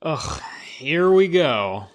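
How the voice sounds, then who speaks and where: A man's voice: fairly high pitch, big pitch swings, very slow, exaggerated voice, poor audio. An actor playing an exasperated, pompous male executor in an audio drama, indignant and scornful. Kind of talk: acted audio drama dialogue.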